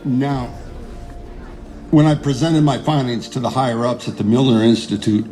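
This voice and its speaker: An actor playing an earnest, idealistic neuroscientist in an audio drama, speaking in a measured, deliberate way. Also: male voice, mid-range voice, warm tone, soft background music, natural voice. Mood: measured, grave. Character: earnest idealistic male neuroscientist, defiant under pressure. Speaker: neuroscientist, lead character. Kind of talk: audio drama narration and dialogue.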